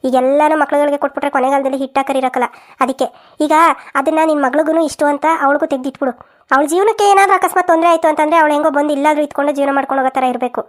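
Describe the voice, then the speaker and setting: A woman's voice: high-pitched, steady tone, rapid-fire, natural voice. A woman voicing a frank, protective older village aunt in a cartoon family drama, emphatic and colloquial, with a rural southern Karnataka accent. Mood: earnest, urging.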